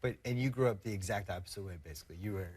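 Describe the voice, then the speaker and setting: A man's voice: fairly low pitch, rapid-fire, a little mellow, slightly rough. A man co-hosting a sports talk show, asking long conversational questions with lots of "like.". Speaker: co-host. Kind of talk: casual interview questions.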